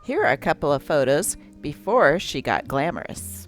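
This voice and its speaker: A woman's voice: sits low, sing-song, normal speed, faint music behind. A woman narrating from a script as host, reading an old newspaper aloud.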